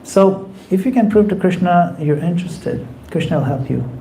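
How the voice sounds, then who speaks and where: A man's voice: high voice, lively intonation, normal speed, vocal fry, dark tone, slight background noise, poor audio. A man giving a conversational temple class, acting out quoted voices as he explains. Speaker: spiritual teacher. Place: temple hall.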